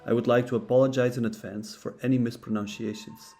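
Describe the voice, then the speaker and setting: A man, not a native English speaker, reading a scripted philosophy lesson in a steady, measured way. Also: mid-range voice, creaky. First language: neither English nor Japanese. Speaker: narrator of a philosophy channel. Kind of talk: scripted educational narration. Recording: faint guitar music behind.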